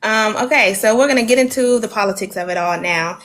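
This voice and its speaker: A woman's voice: medium pitch, brisk, fairly bright tone. A woman moderating an interview, asking loosely phrased questions in an encouraging way.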